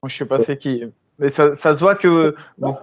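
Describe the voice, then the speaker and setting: A man's voice: on the high side, moderate pace, dull audio. A man hosting a casual interview, asking questions informally and rephrasing them as he goes. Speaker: podcast host, interviewer.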